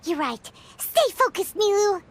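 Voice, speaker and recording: Squeaky, extremely high little fairy. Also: female voice, unhurried, vocal fry, slight background noise, full character voice. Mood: cheering. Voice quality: squeaky, childlike. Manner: excitable. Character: tiny childlike female fairy companion, excitable and loyal. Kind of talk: scripted video game dialogue.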